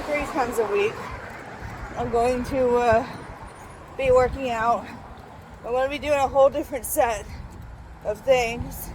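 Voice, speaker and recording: A woman's voice: high voice, background noise. A young woman with a childlike, slightly nasal voice, out of breath as she walks to work outdoors and chats rambling into her phone for a vlog, with many fillers and restarts. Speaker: vlogger. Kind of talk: casual vlog update while walking. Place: outdoors, walking through a town to work.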